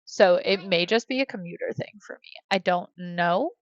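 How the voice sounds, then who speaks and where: A woman's voice: lowish voice, moderate pace, no echo, highs cut off. An American woman in her thirties, chatting casually while she streams a life-sim game from home, rambling with many fillers. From United States. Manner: rambling, hesitant, many fillers, talks to her kids between points. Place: home room.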